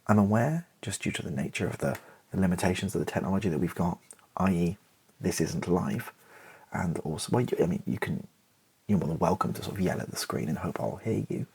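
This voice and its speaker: A man chatting in a relaxed ASMR style, rambling and self-deprecating with a wry touch, his voice husky and creaky. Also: low-pitched, a little fast. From Britain. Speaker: ASMR YouTuber and playwright. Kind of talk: relaxed ASMR-style casual chat to camera.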